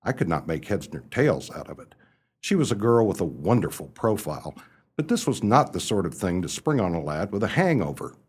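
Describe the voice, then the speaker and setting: An actor playing an amiable, muddled, well-off young English gentleman in a radio play, narrating in a chatty, theatrical upper-class way. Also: sits low, big pitch swings, fairly quick, slightly rough, exaggerated voice. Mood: bemused, storytelling. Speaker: narrator and lead character.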